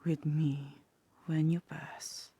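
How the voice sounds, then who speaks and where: A woman voicing a sly, teasing demon in a half-whispered ASMR roleplay, languid and wry, with a husky voice. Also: sits low, little pitch movement, extremely slow, warm tone, dry recording, exaggerated voice. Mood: teasing, coaxing. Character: sly, teasing demon tempting a human toward hell.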